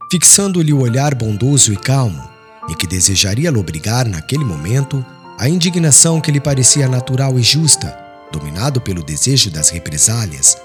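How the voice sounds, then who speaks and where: A man narrating a literary audiobook in a solemn, formal, dramatic storytelling style. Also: mid-range voice.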